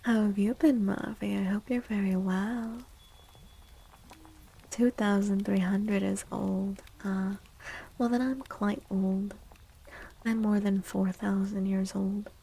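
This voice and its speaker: A woman speaking softly and breathily, often near a whisper, in an unhurried, gentle ASMR livestream chat. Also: mid-range voice, little pitch movement, creaky, slightly rough, low-quality recording. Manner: gentle, slow, unhurried, addressing chatters. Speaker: livestream host and tarot reader.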